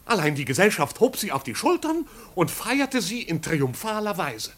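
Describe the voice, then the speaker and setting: An actor playing a pompous, flustered but loyal manservant in a 1950s German radio drama, with ceremonious, convoluted phrasing. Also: male voice, sits high, very animated, normal speed, fairly bright tone, heavily acted. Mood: agitated reporting.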